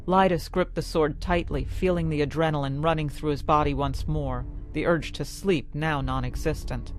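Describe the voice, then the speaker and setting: A woman storyteller reading scripted fantasy narration in a measured, dramatic way. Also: low-pitched. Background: music.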